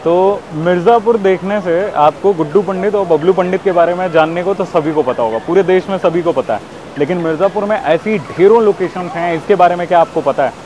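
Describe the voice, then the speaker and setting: A man's voice: high voice, fairly quick, dull audio, background noise. A man, talking casually and enthusiastically to his viewers as a travel vlogger, urging them on. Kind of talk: casual vlog talk to viewers. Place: outdoors, on the road and by a waterfall.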